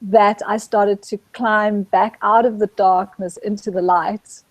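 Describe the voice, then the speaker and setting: A middle-aged woman from Zambia, a guest speaking gently and reflectively about her spiritual search, often pausing to restart her thought. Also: medium pitch, fairly even intonation, dry recording. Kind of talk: reflective spiritual interview talk.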